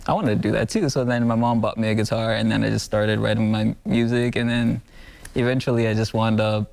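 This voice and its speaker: A man's voice: lowish voice, flat delivery, a little fast, gravelly. A man in his twenties from Zambia, a musician guest on a morning TV show, answering casually with lots of "like" fillers and restarts. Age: 20s. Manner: soft-spoken, frequent "like" fillers and restarts. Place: TV studio.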